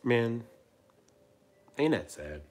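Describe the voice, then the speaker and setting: A man in his twenties hosting a casual livestream chat, rambling and repeating himself with soft trailing asides. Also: medium pitch, very animated, drawn-out words, slight background noise. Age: 20s. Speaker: livestream host.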